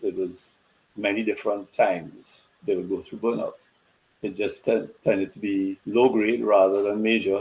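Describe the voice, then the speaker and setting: A middle-aged man from Trinidad, a business consultant chatting as a guest on a video call in a relaxed, conversational way. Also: lowish voice, rough recording. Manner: relaxed and conversational, many "you know" fillers. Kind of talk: casual interview chat over video call.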